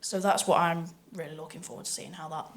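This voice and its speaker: A woman's voice: low voice, steady tone, fast. A woman speaking informally and unscripted, as a voice actor in a panel discussion.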